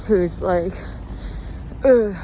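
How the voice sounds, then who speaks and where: A chirpy woman rambles casually, as if to friends, at an unhurried pace with a medium pitch. She halts and restarts her phrases and is quick to giggle. She is recorded outdoors on the move, with cars and street noise clearly behind her. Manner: giggly, chuckles often between phrases; halting, peppered with "like". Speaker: host of a personal walk-and-talk podcast. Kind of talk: casual rambling solo chat. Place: outdoors, walking along a street. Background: passing cars, urban outdoor ambience.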